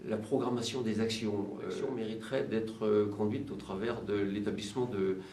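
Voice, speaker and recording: A man giving measured interview answers as a local official, halting and searching for words. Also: on the low side, fairly even intonation, background noise. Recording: roomy, distant mic, music behind. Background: music.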